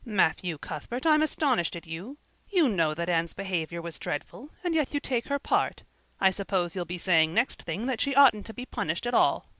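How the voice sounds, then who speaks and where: A woman's voice: mid-range voice, very animated, moderate pace, a bit bright, no room sound. A woman voicing a stern, dry-witted spinster in her fifties in an audiobook, scolding in a firm, dry manner. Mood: indignant. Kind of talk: audiobook character voice.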